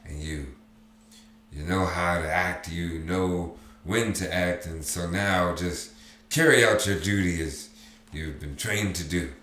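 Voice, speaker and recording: Man doing a slurring, anguished drunk sergeant and father, deep voice, roomy echo. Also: takes it slowly. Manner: slurred, anguished, loud outbursts. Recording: roomy echo, faint background noise.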